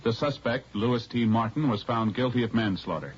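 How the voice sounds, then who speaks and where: A man announcing formally on 1950s radio, with measured, official-sounding scripted delivery. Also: mid-range voice. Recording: muffled vintage radio recording with music.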